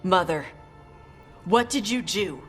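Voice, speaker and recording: An actress playing a blunt, terse young dragon hunter with a defiant streak in a fantasy video game. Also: female voice, fairly low pitch, lively intonation, slow, soft background music. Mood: indignant.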